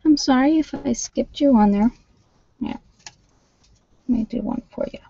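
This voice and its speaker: Woman with gravelly fry, casual and halting. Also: high-pitched, warm tone, no echo. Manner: informal, halting, thinking aloud. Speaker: livestream host doing tarot card readings. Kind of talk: casual live chat with viewers, card reading. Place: at home, indoors. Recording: dull lo-fi livestream audio.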